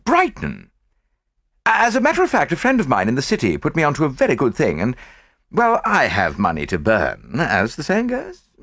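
Man playing an eager english gentleman, breezy and bright, lively pitch, dry close sound. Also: moderate pace, dry recording, exaggerated voice. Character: eager, well-meaning English gentleman companion. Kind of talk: audiobook character voice.

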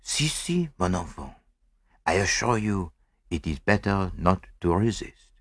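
A man playing a courteous, shrewd gentleman detective in a storybook reading, polite and measured. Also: fairly low pitch, sing-song, dry recording, clean studio sound.

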